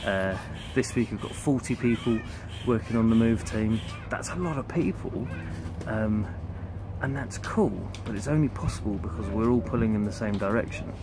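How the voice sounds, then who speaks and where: Low-voiced man vlogging in noisy wind. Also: normal speed. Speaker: vlogger and youth camp leader. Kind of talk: casual chatty vlog narration. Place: outdoors on a campsite, under a tent awning. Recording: windy, noisy outdoor camera sound. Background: wind and outdoor ambience.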